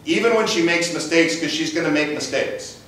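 Pastor with a big voice in an echoey church. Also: male voice, a little high, a little fast, a bit bright, recorded from a distance, slight background noise. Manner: conversational preaching with rhetorical questions. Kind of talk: sermon. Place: church sanctuary. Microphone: far camera, room mic. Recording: echoey church sanctuary, mic from afar.

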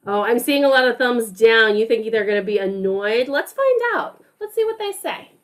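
A woman who teaches music, reading a picture book aloud to young children on a video call, expressive and affectionate, often putting questions to them. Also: fairly high pitch, normal speed. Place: online class over video call.

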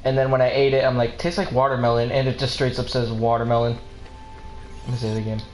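A man's voice: mid-range voice, little pitch movement, fast talker, a little mellow. A man chats casually as he streams a video game, drifting into rambling little stories. His mid-range voice sits in a roomy echo, with faint music behind him. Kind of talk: casual livestream banter over video game.

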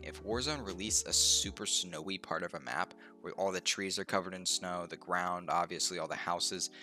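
A young man with a deep, low voice that sounds stuffed up and a little nasal. His tone is bright and casual, full of ums and uhs as he talks into a desk mic. Faint music plays behind him. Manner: relaxed, rambling, lots of ums and uhs. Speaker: gaming youtuber. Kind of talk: casual game walkthrough. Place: home room, indoors.

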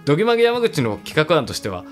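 A young man with a Kansai accent co-hosts casual radio from a small room. He speaks fast with a lively, swinging intonation, reading letters and chatting, over some background music. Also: fairly high pitch. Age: young adult. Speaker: internet radio co-host. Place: small indoor studio room. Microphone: stand microphone with headphones.

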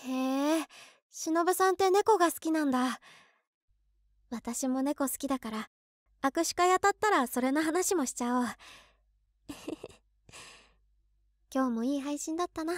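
Woman acting high-voiced lovestruck young office worker. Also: a little slow, pauses often, no echo, clean studio sound. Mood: wistful, dreamy. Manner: expressive, girlish musing aloud. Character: overworked lovestruck office woman of 23, excitable, devoted fan. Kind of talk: Japanese situation voice drama monologue.

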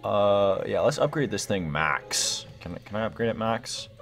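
A young adult man gives casual gameplay commentary, excitable and slangy as he thinks aloud. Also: lowish voice, measured pace, vocal fry, faint music behind. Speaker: gaming video host.

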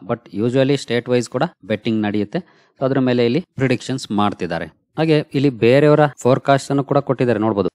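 A man's voice: mid-range voice, darkish tone, no echo, clean studio sound. A man hosting a stock market channel, walking viewers through a news article shown on screen in a plain explaining manner. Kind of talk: screen-share explainer talk.

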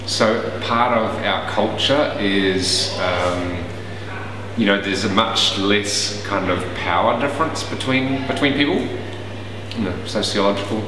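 Brief: New Zealand man, forties, low voice, loose chatty delivery, far off in an echoey room with hiss. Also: a little slow, crowd noise. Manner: relaxed, conversational, many fillers. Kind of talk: casual interview answers. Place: small room indoors. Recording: roomy echo, far from mic, hiss.

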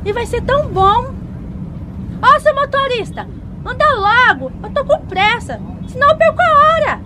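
An actress playing a hurried, excitable, impatient woman in a radio soap, shrill and exclaiming. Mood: hurried, excited. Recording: street and car noise.

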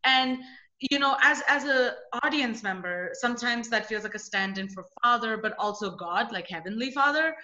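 Young woman, bright sharp voice, halting questions, lots of fillers, dull lo-fi call audio. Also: a little high, animated, echoey room. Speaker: interviewer, poet. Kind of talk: casual interview. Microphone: laptop webcam on video call.

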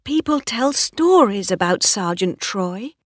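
A woman's voice: fairly high pitch, very animated, a little slow, fairly bright tone, dry recording, clean studio sound, voice pushed up. A woman voicing a proud, headstrong, lovestruck young mistress of a farm, emotional and insistent, as storybook dialogue. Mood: anxious, lovestruck.

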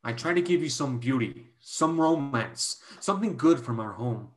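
A man acting a protective, lovelorn brother figure in a staged drama reading over a video call, speaking earnestly and haltingly. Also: mid-range voice, expressive, close to an everyday voice. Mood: tender. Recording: clear background noise on video call.

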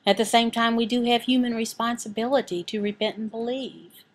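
A woman voicing a signed sermon as an interpreter, speaking deliberately, phrase by phrase with pauses. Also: on the high side, fairly even intonation. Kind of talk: sermon and prayer, voiced from sign language.

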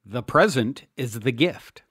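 A man reading his own self-help audiobook as scripted narration, earnest and measured, with deliberate emphasis. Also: medium pitch, expressive, fairly bright tone, breathy edge, dry recording, studio quality. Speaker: podcast host and author reading own audiobook.

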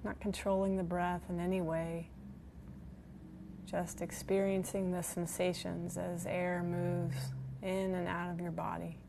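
A woman guiding a body scan meditation in a gentle, soothing manner, leaving long pauses between phrases. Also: fairly low pitch, level tone, gravelly, music in the background. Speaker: meditation guide.

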